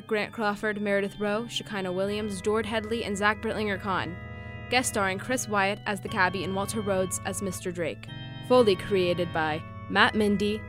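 A woman announcer reading scripted credits for a radio drama. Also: mid-range voice. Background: music, guitar.

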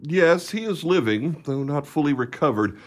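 A man playing a formal, deferential footman who reports in measured phrases in a comic period radio play. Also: on the high side, lively intonation. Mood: dutiful.

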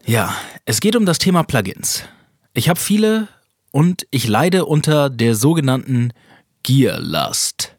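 A man's voice: mid-range voice, big pitch swings, a little slow, no echo, studio quality. A man hosting a casual solo podcast, chatty with many fillers and easy laughs, his nose still stuffy from a cold. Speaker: podcast host. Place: small home studio.

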